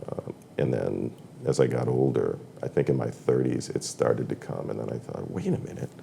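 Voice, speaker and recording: A middle-aged man from Massachusetts, a voiceover artist chatting as a podcast guest, halting and thoughtful with frequent restarts. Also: very deep voice, gravelly, mellow, a little hiss or hum. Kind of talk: casual conversation.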